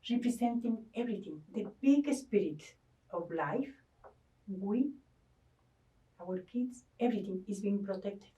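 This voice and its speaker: A woman's voice: medium pitch, animated, measured pace, pauses often, dark tone, recorded from a distance, muffled recording. A woman from Ecuador, a guest talking earnestly about spirituality, often stopping to search for words. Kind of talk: spiritual conversation.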